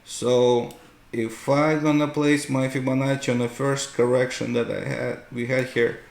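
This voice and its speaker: Slow, gravelly man explaining charts. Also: medium pitch, little pitch movement, darkish tone. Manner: explanatory, often checks with "right?". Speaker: host, market analyst. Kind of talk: unscripted chart tutorial commentary. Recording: roomy, echoing room sound.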